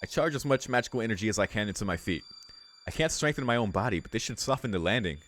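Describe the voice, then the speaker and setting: A man's voice: lowish voice, lively intonation, quick pace, a bit bright, a little hiss or hum. A man reading a visual novel aloud as a streamer, with amused, slangy and sweary casual asides. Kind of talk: casual read-aloud with commentary. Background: faint game music.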